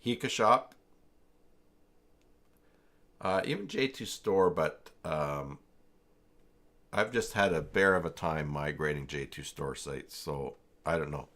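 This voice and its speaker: A man hosting a casual, unscripted livestream tutorial, talking haltingly as he thinks aloud through each step. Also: a bass voice, fairly bright tone. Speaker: livestream host and web tutorial presenter.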